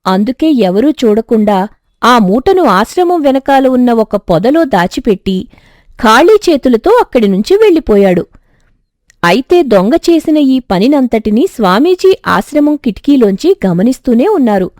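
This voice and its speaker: A woman reads a scripted story as narrator. Her voice sits high and moves with lively, expressive intonation. The sound is very dry. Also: normal speed, no room sound.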